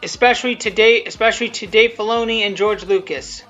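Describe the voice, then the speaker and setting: A person giving rambling, unscripted commentary on their own video, halting and repeating themselves, with blunt, self-deprecating swearing. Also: medium pitch, fairly even intonation, fairly bright tone. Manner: halting, repetitive, often restarting sentences. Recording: muffled lo-fi audio, music behind.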